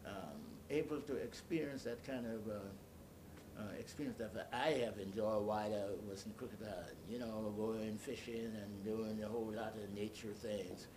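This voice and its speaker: Older man reminiscing, noisy recording. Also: steady tone. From Bahamas. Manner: storytelling, halting, searching for words. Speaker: interviewee. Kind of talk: oral history reminiscence. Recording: clearly noisy, low rumble behind.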